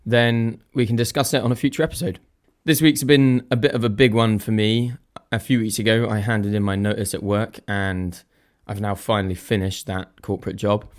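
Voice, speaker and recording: A young man hosting his own podcast, a little croaky, chatting casually and thinking aloud in a self-deprecating way. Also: fairly low pitch, flat delivery, normal speed, few pauses. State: slightly croaky voice. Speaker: podcast host. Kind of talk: casual solo podcast chat.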